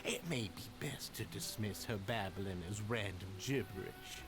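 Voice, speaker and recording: A man's voice: fairly low pitch, a little slow. A man voicing a suave, slippery game villain who speaks with ornate, condescending politeness and an undertone of menace. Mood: smooth, condescending. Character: suave male society enforcer, falsely courteous, slippery and menacing. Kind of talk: scripted game voice acting.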